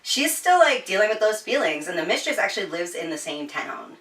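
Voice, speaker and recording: Female host, casual book talk. Bright, forward tone, informal and giggly, recorded a bit off-mic in a roomy space. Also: medium pitch, big pitch swings, brisk, far from the mic. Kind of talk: casual chatty book review. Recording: roomy echo, slightly off-mic.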